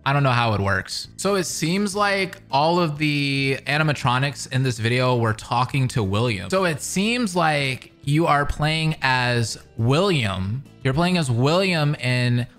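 A man's voice: medium pitch, animated, a little slow, hardly any pauses, a bit bright, soft background music. Casual young man, mid-range voice. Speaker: reaction video host. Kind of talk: casual commentary to viewers. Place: small indoor room at a desk. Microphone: close boom-mounted studio microphone.

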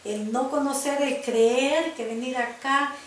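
A woman in her sixties from El Salvador with a Salvadoran Spanish accent, recounting her life in a living room interview, reflective and halting, often repeating her starts. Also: high-pitched, steady tone. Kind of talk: personal life-story interview. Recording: roomy home video with faint hiss.

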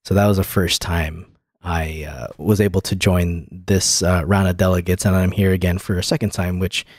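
A man's voice: low-pitched, flat delivery, moderate pace, a little mellow, slightly rough, dry recording, clean studio sound. A man hosting a podcast, chatting casually and explaining things about tech. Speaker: podcast host.

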